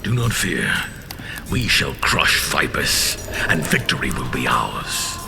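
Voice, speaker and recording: Abyssal, gravelly warlord titan. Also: male voice, big pitch swings, slow, over music, heavily acted. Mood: defiant reassurance. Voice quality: very deep, gravelly growl. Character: vengeful, imperious male warlord titan. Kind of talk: audio drama acting.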